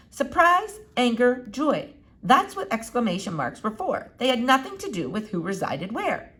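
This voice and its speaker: A woman reading a children's novel aloud in an expressive, animated way with dramatic pauses. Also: on the high side. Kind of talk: expressive storybook read-aloud.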